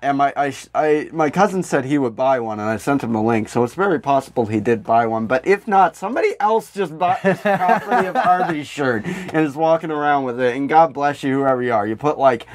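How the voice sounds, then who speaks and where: A man co-hosting a comedy podcast, telling anecdotes in a casual, rambling, halting way and acting out the quotes. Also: medium pitch, animated. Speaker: podcast co-host.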